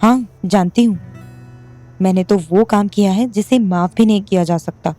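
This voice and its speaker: A woman playing a remorseful young wife, soft and pleading, with a mellow, warm tone. A faint music bed plays beneath her. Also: mid-range voice, moderate pace, natural voice. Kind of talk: Hindi audio drama acting.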